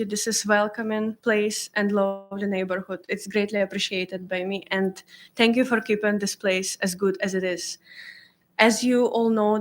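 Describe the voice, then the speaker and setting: A woman from Ukraine, giving earnest, grateful remarks at a podium microphone in a town council chamber, in simple English. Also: mid-range voice. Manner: earnest and grateful, simple non-native phrasing. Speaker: resident speaking at public comment. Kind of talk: prepared remarks at a council meeting.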